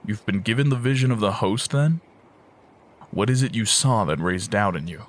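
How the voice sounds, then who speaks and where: An actor playing a calm, wise, noble lord in a fantasy audio drama, measured and reassuring. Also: male voice, fairly low pitch, natural voice.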